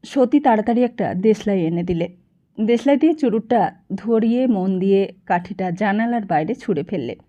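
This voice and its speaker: A woman's voice: medium pitch, lively intonation, moderate pace, dark tone. A woman reading a literary novel aloud as an audiobook narrator.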